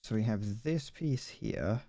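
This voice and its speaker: A man's voice: lowish voice, big pitch swings, slow pace, creaky, warm tone, dry recording. Rambling young man, dry close sound. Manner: rambling, self-correcting, stumbles over words. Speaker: streamer. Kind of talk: casual livestream chat while building a model kit.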